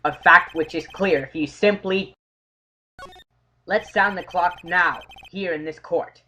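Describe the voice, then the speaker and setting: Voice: mid-range voice, slow pace, bright, forceful tone, a little hiss or hum. An actor voicing an earnest, dogged young male defense attorney in an amateur courtroom game dub, arguing assertively. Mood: careful. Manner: assertive, declamatory argument.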